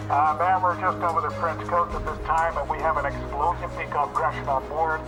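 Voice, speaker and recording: A man's voice: on the high side, flat delivery, fairly quick, music in the background, natural voice. A man putting on an American accent to play a pilot declaring an emergency over the radio. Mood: urgent. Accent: put-on American. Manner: radio procedure calls with hesitant fillers.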